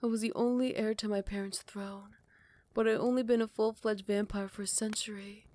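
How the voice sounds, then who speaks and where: A woman voicing a proud, centuries-old female vampire queen in an audio roleplay. She shifts between close, hushed whispered storytelling and sharp, scornful retorts, recorded on a close microphone. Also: medium pitch, fairly even intonation, moderate pace, no room sound, lightly acted. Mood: hushed recounting. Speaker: voice actor. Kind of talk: ASMR roleplay.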